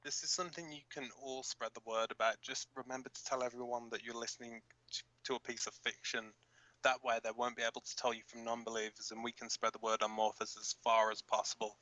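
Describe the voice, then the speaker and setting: A man's voice: medium pitch, level tone, quick pace, sharp tone, no room sound, close to an everyday voice, rough recording. A man acting in an audio drama as a brash, crude co-host of a resistance radio broadcast, with a blunt, casual delivery. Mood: matter-of-fact.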